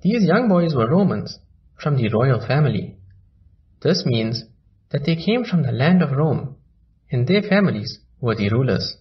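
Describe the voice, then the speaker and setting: A man narrating a children's story in a slow, lively storybook manner. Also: medium pitch, lo-fi sound.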